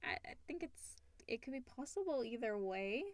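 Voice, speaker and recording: A woman with a fairly high voice co-hosts a casual podcast. She sounds conversational, and the recording is dry, with hardly any room sound. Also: expressive, normal speed, no room sound. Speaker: podcast co-host reading listener questions.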